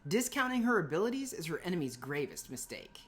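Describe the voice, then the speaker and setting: A woman's voice: lowish voice, sing-song, quick pace, fairly bright tone. A woman teaching an informal scripted lesson for middle schoolers, chatty and playful with emphatic asides.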